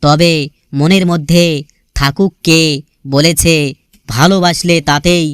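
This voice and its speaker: A man's voice: a little high, steady tone, slow pace, clean tone, no echo, clean studio sound. A man reciting sentimental scripted lines about love in an earnest, melancholy, poetic manner.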